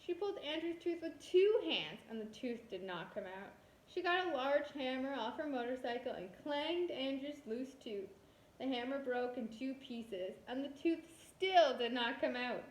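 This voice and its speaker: A woman's voice: high-pitched, animated, moderate pace. A woman kindergarten teacher reading a storybook aloud expressively, talking directly to young children. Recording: roomy echo.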